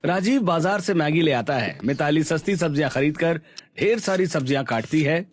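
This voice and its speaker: A man's voice: a little high, expressive, normal speed. A man giving scripted narration for a family story in storybook style.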